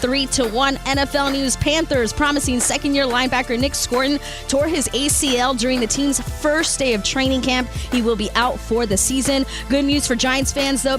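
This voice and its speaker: A woman on a sports talk panel who argues quickly and reads a brisk score update. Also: high-pitched. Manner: quick, confident, brisk when reading scores. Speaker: news update reader and panelist.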